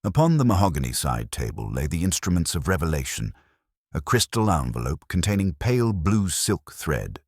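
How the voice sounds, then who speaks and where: A man's voice: low-pitched, very animated, moderate pace, husky, no room sound, clean studio sound. A man narrating a scripted mystery story in a solemn, literary and theatrical manner. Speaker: audiobook narrator.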